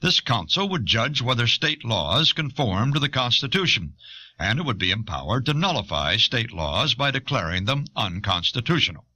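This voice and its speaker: An American man reading scripted documentary audiobook narration in a measured, formal, emphatic way. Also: mid-range voice, big pitch swings, pushed and bright.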